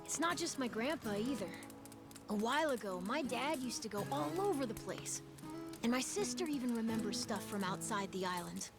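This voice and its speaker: A woman's voice: on the high side, animated, normal speed. A woman voicing a plucky, curious island child in a video game, offhand and earnest with a youthful, casual delivery. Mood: eager, wistful. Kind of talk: video game cutscene acting. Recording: music clearly behind the dialogue.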